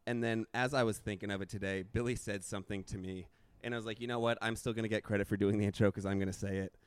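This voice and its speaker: A man's voice: on the low side, steady tone, quick pace, dry recording. Laid-back joking young man, dry sound. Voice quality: slightly raspy. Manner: joking, rambling, laughs often. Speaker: podcast co-host and stand-up comedian. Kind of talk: casual comedic chat.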